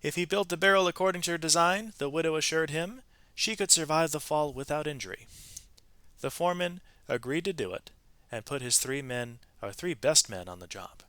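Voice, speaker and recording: A man reading a storybook aloud to students in an expressive, emphatic way, with brief explanatory asides to his listeners. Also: on the high side, a little fast, no echo. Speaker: read-aloud narrator, teacher reading to students.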